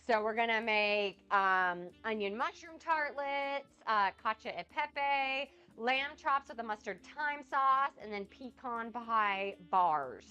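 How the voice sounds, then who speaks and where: A woman's voice: on the high side, expressive, takes it slowly, hardly any pauses, pushed and bright, clear, resonant tone, lo-fi sound, faint music behind. A woman hosting a casual cooking livestream, chatting with viewers and answering chat while she cooks, a bit sniffly and nasal from a cold. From United States. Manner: chatty, rambling, reads out and answers chat comments.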